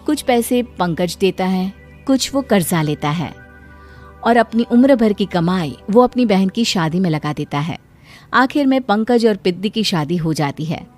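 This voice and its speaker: A woman's voice: mid-range voice, animated, measured pace, darkish tone. A woman narrating a scripted emotional moral story as a storyteller. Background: background music.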